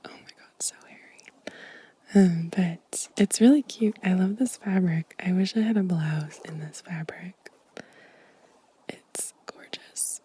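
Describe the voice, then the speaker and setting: A woman giving a gentle, unhurried ASMR show and tell in a soft, mostly whispered, breathy voice, close to the microphone. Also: lowish voice, no echo. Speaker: ASMR video host.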